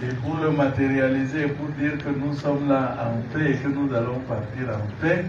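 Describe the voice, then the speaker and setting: Man, formal civic address, deliberate phrasing, echoey room, noisy background. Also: medium pitch, fairly even intonation. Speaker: civic education programme speaker at a ceremony. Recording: echoey, noisy, muffled, far from mic.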